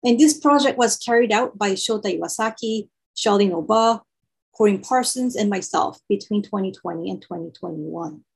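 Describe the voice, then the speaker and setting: A woman whose first language is Japanese, speaking English in a careful, measured way. Her voice has some creak and a husky edge. She is heard over a video call from a small room. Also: mid-range voice, expressive. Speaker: workshop facilitator. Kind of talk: online workshop introduction.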